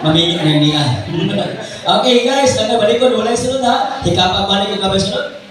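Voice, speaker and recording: High-pitched Filipino man hosting in an echoey venue. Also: a little fast, a little hiss or hum. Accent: Filipino, Visayan. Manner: playful, exclamatory, calls out prompts to the audience. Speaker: children's party magician and host. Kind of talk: live magic show patter with audience games. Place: echoey party venue with music and crowd. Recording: echoey party venue, distant mic, loud music and crowd.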